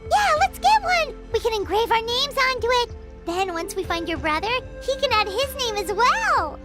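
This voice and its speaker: A woman's voice: very high voice, very animated, moderate pace, loud music behind the voice. A woman voicing a tiny, cheerful, childlike fairy girl companion in an anime-style game, with a perky, eager delivery. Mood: excited.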